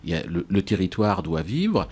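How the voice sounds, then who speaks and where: Male local politician, very deep voice. Halting interview delivery, emphatic repetition. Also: sing-song, darkish tone, husky. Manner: halting, repeats words for emphasis. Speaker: town mayor.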